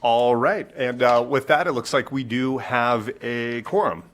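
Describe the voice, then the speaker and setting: A man chairing a board meeting, casual and halting, with many fillers and repeated words. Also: mid-range voice, a bit bright.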